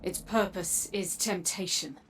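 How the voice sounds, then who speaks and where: A woman playing a solemn, resolute mentor in a video game cutscene, speaking in a measured, earnest, mysterious way. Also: on the low side, fairly bright tone, distant mic, slight background noise, poor audio.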